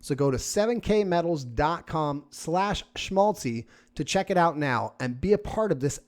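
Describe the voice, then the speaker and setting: A man reading a sponsor ad as the podcast host, with a plain promotional pitch. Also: lively intonation, moderate pace, a little mellow. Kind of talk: ad read.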